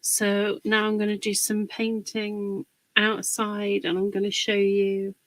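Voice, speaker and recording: A woman hosting a casual live craft demonstration, a little husky from a cold, chatting and greeting viewers by name. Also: mid-range voice, monotone, slow, no room sound, low-quality recording. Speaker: craft livestream host.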